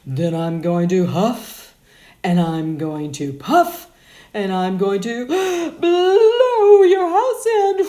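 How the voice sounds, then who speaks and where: A woman voicing the big bad wolf, slow and menacing, recorded in an echoey room. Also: fairly high pitch, expressive, warm tone, pitched above the performer's own voice. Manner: slow, drawn-out threats.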